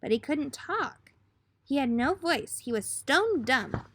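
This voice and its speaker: A woman's voice: high voice, slight background noise. A woman reading a children's picture book aloud expressively, in the style of a teacher addressing young listeners. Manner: expressive, pausing to put questions to young listeners. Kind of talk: children's storybook read-aloud.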